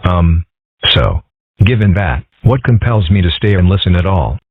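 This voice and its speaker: A man voicing a serene, wry young boy fox in a cartoon comic dub, calm and deadpan with a husky voice. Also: low voice, normal speed, full character voice. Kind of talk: comic strip dub acting. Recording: tinny phone-like band-limited audio.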